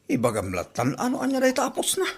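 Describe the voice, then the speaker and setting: A man's voice: very high-pitched, wildly swooping pitch, fast talker, husky, exaggerated voice. A man acting a gossipy, disapproving village husband in an Ilocano comedy drama, with a Filipino Ilocano accent.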